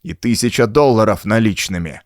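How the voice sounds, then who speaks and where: A man voicing a frightened, bullying old man in an audiobook, hurried, nervous and imperious. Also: lowish voice, no room sound, studio quality, natural voice.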